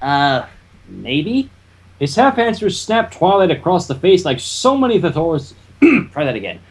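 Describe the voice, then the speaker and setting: A fan fiction reader narrating a story in an animated way, with casual banter in between. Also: mid-range voice, normal speed.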